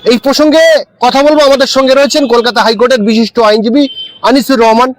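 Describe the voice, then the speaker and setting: A man's voice: very high-pitched, very fast, no room sound, rough recording. A man speaking as an interviewer in a formal, questioning style.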